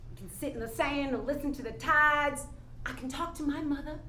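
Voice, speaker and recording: A woman's voice: sits high, fairly quick, sharp tone. A woman playing a jaded, outspoken daughter with a blunt, scoffing, emphatic manner in a staged play reading. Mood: brisk, friendly. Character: jaded, outspoken woman chasing money owed to her grieving father. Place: indoor room at a staged reading. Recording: echoey room, noticeable background noise.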